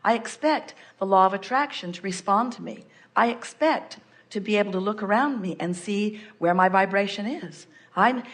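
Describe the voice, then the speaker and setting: Woman explaining in a measured, deliberate way. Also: mid-range voice. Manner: measured, explanatory, speaks of herself in third person. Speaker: spiritual speaker answering a guest. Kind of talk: live seminar channeling talk.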